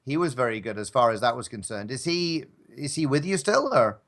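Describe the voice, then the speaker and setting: A man's voice: medium pitch, lively intonation, fairly quick, fairly bright tone. A man chatting casually as a podcast guest, polite and hesitant, often restarting his phrases. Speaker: podcast guest, audio drama performer. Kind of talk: casual chat.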